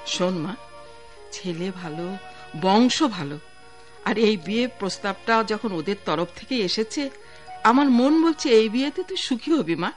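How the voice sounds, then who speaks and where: A woman's voice: mid-range voice, expressive, a little slow, soft background music, natural voice. An actress playing a fussing, affectionate middle-aged aunt in a radio drama, pleading and scolding in a motherly way. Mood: scolding, coaxing.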